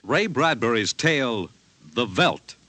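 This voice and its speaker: A man's voice: medium pitch, big pitch swings, slow pace, bright, forceful tone. A man giving a grand, dramatic scripted announcement in 1950s radio style. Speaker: program announcer. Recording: dull old radio broadcast recording. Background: music.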